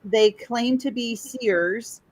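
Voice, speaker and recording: A woman's voice: fairly high pitch, takes it slowly, no room sound. A woman from Chicago, a podcast guest, telling a family story in a rambling way that trails off and circles back. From Chicago, USA. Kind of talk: casual storytelling conversation.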